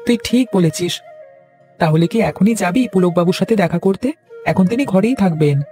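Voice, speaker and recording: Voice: medium pitch, a little fast, mellow, faint music behind, natural voice. A performer playing an earnest, supportive young village boy in a cartoon fairy tale. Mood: earnest, encouraging. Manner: earnest, agreeable, encouraging.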